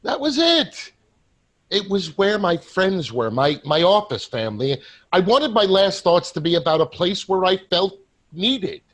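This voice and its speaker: A man acting a husky, pleading dead salesman. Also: sits high, sing-song, fairly bright tone, full character voice. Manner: earnest, halting, pleading. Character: desperate, pleading male ghost of a dead salesman. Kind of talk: staged play reading.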